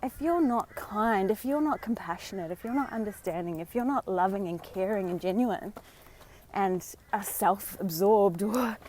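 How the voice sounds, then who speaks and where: An Australian woman talks casually into her phone while out walking, her voice a little hoarse and croaky from a sore throat. She speaks in a chatty, encouraging way, with plenty of ums and friendly asides, at a middling pitch. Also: moderate pace, darkish tone, slight background noise. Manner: chatty, encouraging, many fillers. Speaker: vlogger and dating coach. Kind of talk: casual live-stream talk. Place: outdoors on a walk.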